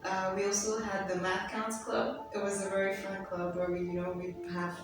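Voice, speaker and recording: A woman's voice: medium pitch, flat delivery, normal speed. A young woman whose first language is Arabic talks in a loose, chatty way, leaning on "you know" and "like". She sounds distant from the mic in a very echoey room. Music plays underneath her. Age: young adult. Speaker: interview guest, university student. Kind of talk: casual interview. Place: school set.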